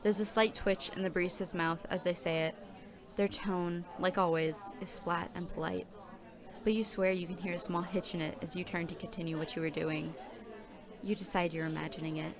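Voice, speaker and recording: A woman's voice: on the low side, little pitch movement, normal speed, a crowd in the background, natural voice. A woman performing scripted audio drama narration as a nervous, overworked new coffee shop worker. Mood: grim, weary. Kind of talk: scripted second-person narration.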